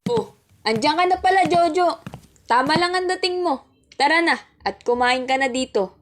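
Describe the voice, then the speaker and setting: High-voiced caring mother played by a woman. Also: animated, moderate pace. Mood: brisk, caring. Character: caring, hard-pressed mother hurrying her children along. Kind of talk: amateur radio drama acting. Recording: faint noise, clicks and clatter.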